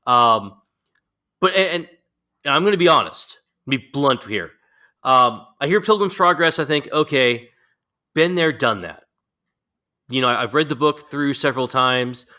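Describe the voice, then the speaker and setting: A man's voice: medium pitch, lively intonation, a little slow, long pauses, bright, forceful tone. A man hosting a podcast, chatting casually and informally with a guest in a blunt, self-deprecating way. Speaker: podcast host interviewing a guest.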